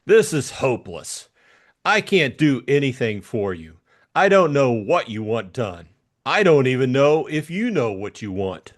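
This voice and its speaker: A man's voice: mid-range voice, sing-song, measured pace. A man acting an irritable, blunt hard-boiled private detective in an audiobook drama. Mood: irritated. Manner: blunt, impatient.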